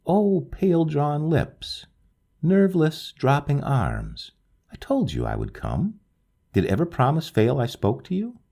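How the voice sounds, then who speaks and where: A man reading a literary story aloud in a slow, solemn, dreamlike way. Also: vocal fry, dark tone, husky. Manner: slow, solemn, dreamlike delivery with wide swings in pitch. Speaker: audiobook narrator.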